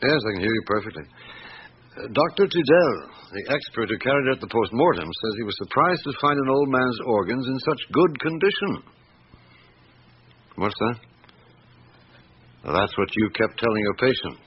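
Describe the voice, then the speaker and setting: An actor playing a patient, methodical chief inspector in a radio drama, speaking deliberately and with quiet authority, with probing questions. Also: male voice, mid-range voice, expressive, lightly acted. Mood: businesslike, attentive. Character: patient, methodical middle-aged male police chief inspector. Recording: muffled, noisy old radio recording.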